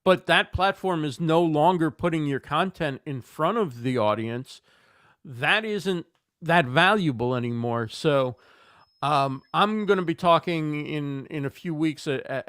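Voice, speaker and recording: A man's voice: a little high, a bit bright, dry recording, studio quality. Man with a slightly worn voice. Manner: thoughtful, with frequent fillers and repeated words. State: slightly losing his voice. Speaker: guest expert on livestreaming. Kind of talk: conversational interview answers.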